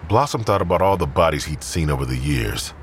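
A man's voice: a bass voice, expressive, fairly quick, slight background noise. A man narrating scripted crime fiction as a storyteller.

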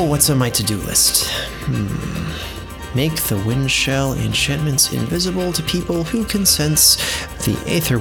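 An absent-minded male archivist muses in a medium-pitched voice. He is chatty and casual, humming as he thinks in a fantasy audio drama, with prominent music underneath. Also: gravelly. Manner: musing, hesitant hums, casual. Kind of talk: in-character audio drama narration.

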